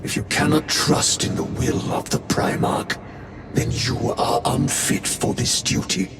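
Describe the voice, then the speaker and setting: A man's voice: unhurried, gravelly. An actor playing a severe male warrior chaplain who makes cold, admonishing pronouncements. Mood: stern. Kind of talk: game cutscene dialogue. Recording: echoey game scene with rumbling noise.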